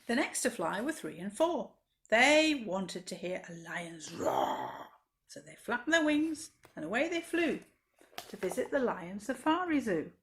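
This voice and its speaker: A woman's voice: mid-range voice, big pitch swings, a little slow, a bit bright. A woman reading a rhyming children's story expressively, with dramatic emphasis, as a library storyteller.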